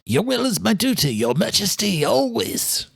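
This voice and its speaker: Audiobook role: obedient vampire's manservant, played by a man. Raised pitch, deferential, reverent, servile. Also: big pitch swings, normal speed, gravelly, breathy edge, exaggerated voice. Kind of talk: audiobook character voice.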